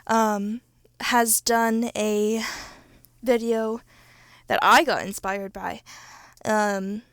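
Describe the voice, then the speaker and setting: Young female creator, slightly high voice, unscripted and informal, halting delivery, very dry close recording. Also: fairly bright tone, no echo. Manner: informal, halting and self-correcting, with mild swearing. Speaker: ASMR roleplay creator and channel host. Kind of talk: casual off-script chat to listeners.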